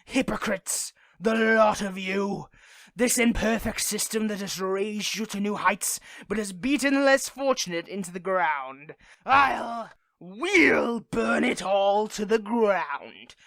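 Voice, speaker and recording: A performer voicing a theatrical supervillain who is tender with a partner, in an intimate audio roleplay that moves between softness and grandiose menace. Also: mid-range voice, takes it slowly, vocal fry, dry recording. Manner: switches between soft affection and grandiose menace.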